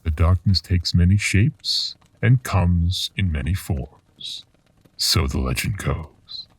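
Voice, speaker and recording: A very low-voiced, mysterious keeper of dark tales, speaks slowly and portentously. He taunts the listener with theatrical, swooping menace in the manner of a horror radio drama. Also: animated, breathy edge, distant mic, full character voice. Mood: ominous, grave. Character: mysterious, ominous male storyteller collecting dark legends.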